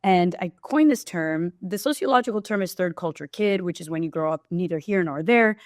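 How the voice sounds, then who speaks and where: A woman's voice: on the low side, expressive, fairly quick, a little mellow, no room sound, clean studio sound. A woman from Brazil, a podcast guest, talking openly and reflectively about her life in casual conversation. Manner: reflective, open storytelling. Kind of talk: casual interview chat.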